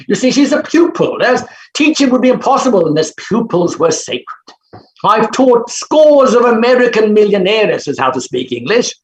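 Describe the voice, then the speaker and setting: An actor playing an arrogant, blustering bachelor phonetics professor, with a pompous, theatrical and dismissive manner, in a staged play reading. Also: mid-range voice. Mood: scornful. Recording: roomy, echoing sound.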